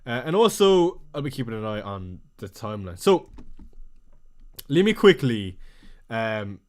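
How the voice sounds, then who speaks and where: This is a man with a strong Irish accent running a live fantasy football stream. He talks in an easygoing, chatty way, reading out viewer questions and firing back short answers. His voice sits at a middling pitch for a man, recorded close on a desk mic. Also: very animated. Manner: chatty, reads viewer messages aloud and answers them quickly. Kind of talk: casual live stream chat.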